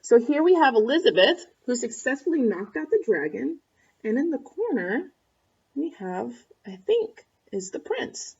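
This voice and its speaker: A woman's voice: on the high side, very animated, unhurried, a little mellow, slightly rough, muffled recording. A woman reading a picture book aloud to children expressively, with asides and questions for the listeners. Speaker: storybook reader.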